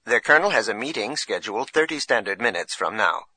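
A man's voice: fairly low pitch, animated, fast, pushed and bright, slightly rough, dry recording, heavily acted. A man voicing a formal, efficient droid in an audiobook, precise and impersonal. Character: male-voiced military office droid, formal and efficient.

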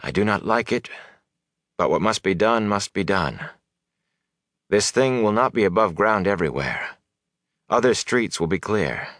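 A man voicing a grave, commanding sorceress who speaks in measured, solemn warnings for an audiobook. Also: fairly low pitch, animated, a bit bright, no room sound, clean studio sound, pitched above the performer's own voice. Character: stern, commanding sorceress, grave and urgent woman.

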